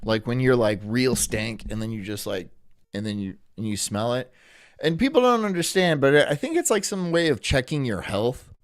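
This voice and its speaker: A young man from Florida chatting casually on a live stream, rambling through jokes and deadpan absurd asides. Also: medium pitch, moderate pace, dry recording, clean studio sound. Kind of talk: casual live-stream chat reacting to a video.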